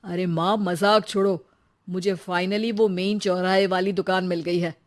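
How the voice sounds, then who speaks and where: A woman's voice: on the low side, fairly even intonation, a little slow, natural voice. A woman voicing an eager young married son in a cartoon story, with an earnest, conversational manner. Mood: excited.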